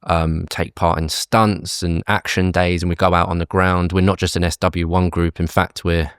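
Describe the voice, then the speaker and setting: A man from the UK, a campaign group chief, explaining tax and spending in a measured interview manner with fillers and restarts. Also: low voice, flat delivery, smooth, dry recording, studio quality. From United Kingdom. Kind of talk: conversational policy interview.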